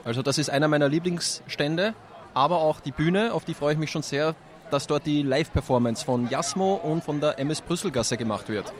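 A man's voice: on the high side, fairly quick. A man, a teacher answering interview questions outdoors at a street festival in a friendly, candid way.

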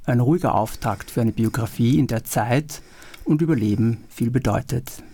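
Calm male radio host. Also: medium pitch, slightly rough. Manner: calm, measured, reads prepared text. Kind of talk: scripted radio moderation. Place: radio studio.

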